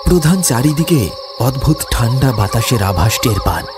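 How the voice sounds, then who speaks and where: A man narrates a scripted horror tale over loud music. His mid-pitched voice moves widely up and down, keeping a dramatic, suspenseful tone. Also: big pitch swings, moderate pace. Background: music, insect sounds.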